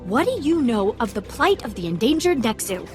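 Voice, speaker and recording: An actress playing an unsympathetic, zealous wildlife researcher in a video game, scolding and lecturing. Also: female voice, fairly high pitch, big pitch swings, fairly quick, music in the background. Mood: impatient zeal. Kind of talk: video game cutscene acting.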